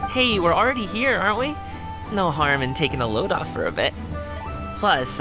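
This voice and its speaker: A friendly, attentive young man in an anime-style game. He talks casually and earnestly, with boyish swings of intonation over game music. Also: on the high side. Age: young adult.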